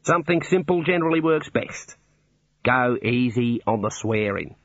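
A man's voice: mid-range voice, expressive, moderate pace, a bit bright, no room sound, highs cut off. A man voicing a cheerful, chatty Australian prison warder who talks in a breezy, matter-of-fact, colloquial way for an audiobook.

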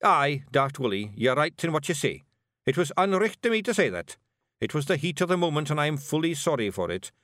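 Man voicing a tiny boastful Scottish fairy chief, high and lively, gruff, dry booth. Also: dry recording. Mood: blustering. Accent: broad Scottish. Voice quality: gruff, boastful, quick to bristle. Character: small brash male fairy clan chief, blustering and proud.

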